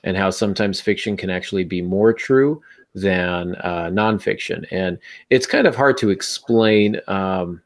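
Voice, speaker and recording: A man's voice: low voice, unhurried. A man hosting a podcast in a casual, conversational way, with wry asides as he talks about writing. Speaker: podcast host.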